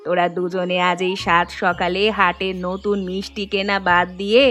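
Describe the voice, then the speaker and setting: A woman's voice: fairly low pitch, little pitch movement, normal speed, a bit bright, natural voice. A woman playing a doting old Bengali village mother. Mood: fond concern. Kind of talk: animated folk story dialogue. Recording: music bed under the voice.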